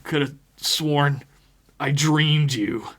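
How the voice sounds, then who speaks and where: A man's voice: fairly high pitch, very slow, a bit bright, pitched above the performer's own voice, heavily acted. A man voicing a frightened but defiant captive in a tabletop horror story, with a strained, sarcastic, foul-mouthed delivery. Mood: shaky, weak.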